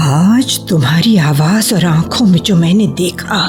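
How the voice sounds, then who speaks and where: An actress playing a troubled, guilt-torn woman in a radio play, halting and repeating phrases as she resists forbidden love. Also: lowish voice, a little mellow, lightly acted. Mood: anguished protest. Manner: halting, repeats phrases, drops to anguished whispers. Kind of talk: Hindi radio play acting. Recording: music under a radio play.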